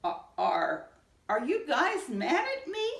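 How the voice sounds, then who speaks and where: Storybook mouse, young male, woman performing: earnest, apologetic, full of questions, lively high delivery, roomy sound. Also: slow pace, vocal fry, distant mic, full character voice. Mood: hurt, pleading.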